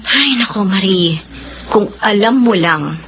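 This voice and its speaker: A woman's voice: mid-range voice, lively intonation, takes it slowly, a bit bright. A woman acting a frustrated, submissive young wife and mother in a radio drama, plaintive and resigned, with a Filipino accent. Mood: resigned, weary. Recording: dull sound, faint background noise.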